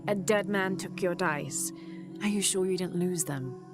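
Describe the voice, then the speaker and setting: A woman playing a determined, kind young adventurer in a video game, speaking calmly. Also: lowish voice, unhurried, music in the background. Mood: gentle, kind. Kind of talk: video game dialogue acting.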